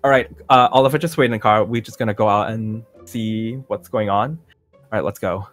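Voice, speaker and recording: A man's voice: on the low side, fairly quick, fairly bright tone, lightly acted. Man acting as nervous zombie survivor, stammering, hesitant, repeats himself, game roleplay, music behind. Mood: casual, unsure. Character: nervous, forgetful male celebrity in a zombie apocalypse. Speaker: roleplay player. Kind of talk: improvised roleplay acting in a Minecraft series.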